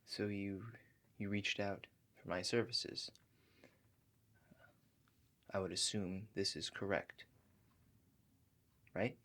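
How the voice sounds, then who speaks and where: A man doing a softly spoken ASMR roleplay as a calm relaxation agent, hushed and halting, with gentle check-in questions. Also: fairly low pitch. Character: calm, awkward house-call relaxation agent. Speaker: ASMR performer.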